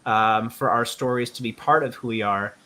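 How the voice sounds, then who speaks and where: A man hosting a conversation, talking in an earnest, thoughtful way with many pauses and fillers. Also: medium pitch, fairly even intonation, bright, forceful tone. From United States. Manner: earnest, thoughtful, many ums and you-knows. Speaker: musician and show host. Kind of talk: reflective conversational interview.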